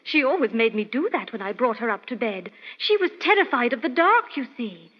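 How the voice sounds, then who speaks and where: A woman's voice: on the high side, sing-song, fairly quick. An actress playing an earnest, anxious young lady's companion in an old-time radio mystery, speaking politely. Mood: earnest, distressed. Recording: noisy, muffled old radio recording.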